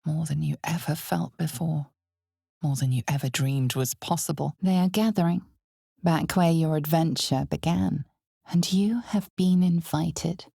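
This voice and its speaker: An actress voicing an ominous, knowing narrator in a dark fantasy video game, with measured, dramatic delivery that often sinks toward a whisper. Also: female voice, low voice, vocal fry, slightly rough, no echo, clean studio sound, exaggerated voice. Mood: dark, enticing. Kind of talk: scripted second-person fantasy game narration.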